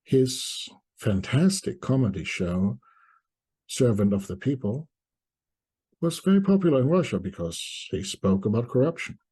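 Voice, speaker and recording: A middle-aged man from East Germany, giving reflective spoken commentary to viewers in deliberate short phrases with pauses. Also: medium pitch, lively intonation, warm tone, slightly rough. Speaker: commentator presenting a video essay.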